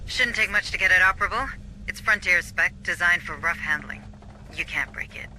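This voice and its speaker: Brisk matter-of-fact station admin in a sci-fi game, super bright voice, bit of noise. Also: female voice, on the low side, low-quality recording. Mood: dry, reassuring. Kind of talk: video game military drama.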